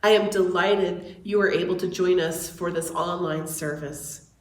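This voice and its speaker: A woman giving a measured, formal welcome and call to worship as a church's religious education director. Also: fairly low pitch, steady tone, reverberant. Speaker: director of religious exploration and seminary student.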